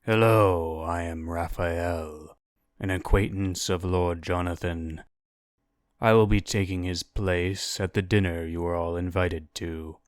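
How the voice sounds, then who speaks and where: A narrator voicing a graying, sharp-featured male envoy with a formal, courteous, measured manner. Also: low-pitched, steady tone, no room sound, clean studio sound. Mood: polite, formal.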